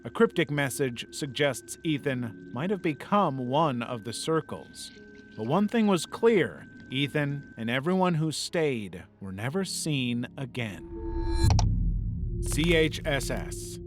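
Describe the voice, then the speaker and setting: A man's voice: a little high, wildly swooping pitch, takes it slowly. A man reading a scripted narration in the role of a video essay narrator. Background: background music.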